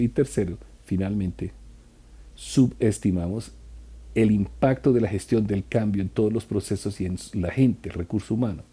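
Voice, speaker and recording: A man interpreting a conference talk live, in a halting way with restarts and trailing phrases. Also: lowish voice, dark tone, breathy edge.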